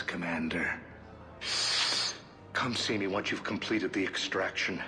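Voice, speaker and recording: An actor playing a courteous alien financial advisor and shady agent in a video game, speaking in a formal, measured, businesslike way. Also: male voice, on the low side, pushed and bright.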